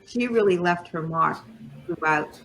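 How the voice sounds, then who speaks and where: A woman's voice: on the low side, unhurried, a bit bright, slight background noise, low-quality recording. A middle-aged woman, a journalist and panel guest, sharing personal reminiscences in a tribute.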